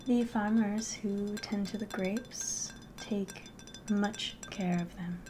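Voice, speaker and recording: Woman playing a soft, slow-talking fantasy innkeeper. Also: mid-range voice, steady tone, soft background music, close to an everyday voice. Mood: hospitable, gently chatty. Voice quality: soft, breathy, often whispering. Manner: gentle, unhurried, polite, hospitable. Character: friendly, curious female innkeeper of a fantasy tavern. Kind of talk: ASMR roleplay, softly spoken to the listener.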